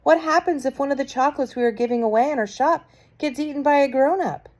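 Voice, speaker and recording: A woman's voice: high voice, fast, darkish tone, pitched above the performer's own voice, lightly acted. A woman reading aloud and voicing a timid witch who calls a question from the audience. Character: meek witch asking from the audience.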